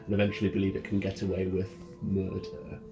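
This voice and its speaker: A man voicing a low, stern young dragon. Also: completely flat delivery, quick pace, creaky, low-quality recording. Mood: solemn, stern. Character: stern, accusing young male dragon, grieving his father. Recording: loud ambient music under the voice.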